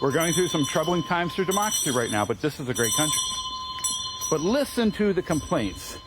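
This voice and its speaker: Higher-voiced American man on noisy outdoor livestream. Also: big pitch swings, fairly quick. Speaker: livestream host and organizer of a public reading. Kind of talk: informal livestream address to viewers and a small crowd. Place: outdoors on a town street. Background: loud ambient noise and a ringing bell.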